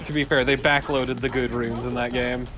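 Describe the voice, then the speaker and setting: A man's voice: medium pitch, little pitch movement, moderate pace. Dry man chatting over a game. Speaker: let's play co-host. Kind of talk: casual video game commentary. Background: game music.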